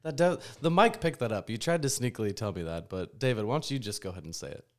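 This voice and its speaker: A man's voice: lowish voice, animated, fast talker. A man hosting a sports podcast in a casual, chatty style. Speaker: podcast host.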